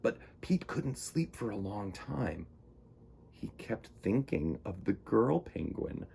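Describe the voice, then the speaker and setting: A man's voice: fairly low pitch, sing-song, takes it slowly, creaky, mellow, slight background noise. A man reading a picture book to children as a storytime host, with theatrical, dramatic emphasis.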